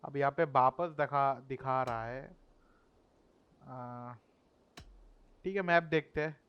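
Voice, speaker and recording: Very fast-talking Indian man, gameplay commentary. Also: medium pitch, smooth, dull audio. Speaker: gameplay streamer.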